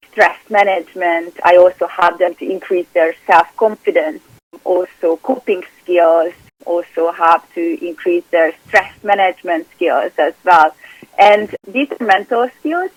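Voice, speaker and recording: A woman's voice: fairly low pitch, dry recording, poor audio. A woman from Hungary with a Hungarian accent, speaking earnestly as a guest on an interview podcast and often restarting her sentences. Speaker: performance coach.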